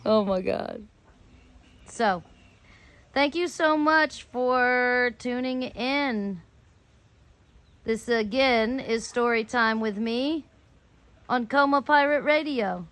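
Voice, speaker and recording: An American woman telling rambling personal stories casually as a host, in a drawn-out way with some swearing. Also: on the high side, no echo. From United States. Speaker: host of a personal storytelling show.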